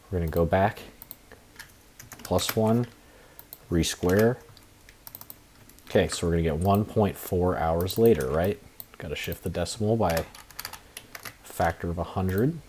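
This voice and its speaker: A young man who is sick and a bit hoarse, rambling through a screen-share lesson with many fillers and restarts. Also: deep voice, darkish tone. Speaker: trading tutorial presenter. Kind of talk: casual screen-share tutorial.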